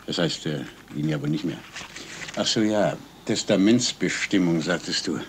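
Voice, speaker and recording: Actor playing a brisk, wry policeman. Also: sits low, a little hiss or hum, close to an everyday voice. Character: brisk, wry male police colleague on the telephone. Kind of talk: 1970s German radio crime drama acting.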